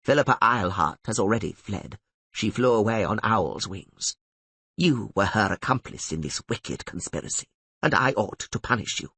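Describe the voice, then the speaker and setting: An imperious older archmistress sorceress, as a male audiobook narrator puts her on, her formal orders rising and falling widely in a husky voice. The recording is dry and close. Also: on the low side, expressive, pushed and bright, no echo, full character voice. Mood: stern weary. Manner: commanding, formal, measured. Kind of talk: audiobook character reading.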